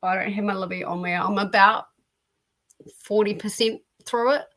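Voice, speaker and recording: A woman's voice: medium pitch, steady tone, normal speed, fairly bright tone. A woman hosting a reading livestream, chatting casually and informally about books. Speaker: host of a reading sprint livestream.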